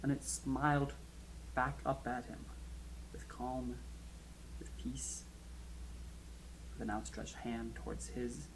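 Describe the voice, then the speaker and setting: A man's voice: medium pitch, steady tone, noisy background. Man acting as a wry, chatty storm survivor. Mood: hushed dread. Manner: wry, informal, halting for suspense, swelling then hushed. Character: chatty kayak rescuer recounting an eerie near-drowning. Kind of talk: conversational horror monologue, animated storytelling.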